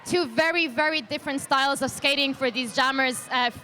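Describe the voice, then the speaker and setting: A woman's voice: sits high, fairly quick, pushed and bright. A woman doing live roller derby commentary in a chatty, joking way, with a faint arena hum behind her. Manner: jokey, chatty, laughs often. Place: leisure centre arena.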